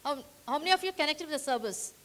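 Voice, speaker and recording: A woman leading an interactive training session in a meeting hall. She rallies the audience with energetic questions. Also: high voice, very fast. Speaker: workshop trainer.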